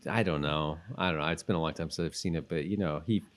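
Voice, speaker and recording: A man co-hosting a casual podcast chat, rambling and halting as he thinks aloud. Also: very deep voice. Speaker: podcast co-host.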